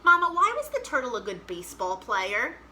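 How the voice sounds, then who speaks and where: A woman voicing a curious, excitable little boy who loves turtles, eagerly asking and exclaiming in a storybook read-aloud. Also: fairly high pitch, brisk, a little hiss or hum, exaggerated voice. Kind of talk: children's picture book read-aloud.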